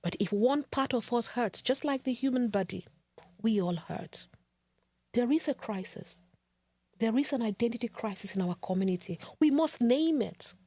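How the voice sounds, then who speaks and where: A woman hosting a podcast, giving an earnest, measured and rhetorical monologue. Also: on the high side, animated, warm tone. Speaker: podcast host.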